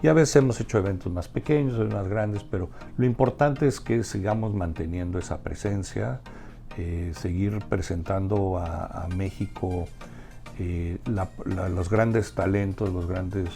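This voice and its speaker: A man with a Mexican accent answering in a conversational interview, haltingly repeating words as he searches for his phrasing. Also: low voice, creaky, mellow. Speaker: interview guest, restaurateur and community organization member.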